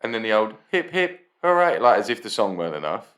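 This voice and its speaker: A booming man with a fairly low voice co-hosts a casual podcast. He teases and jokes, dropping swear words easily. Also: very animated, fast, fairly bright tone. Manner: joking, teasing, swears freely. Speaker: podcast co-host. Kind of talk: casual banter.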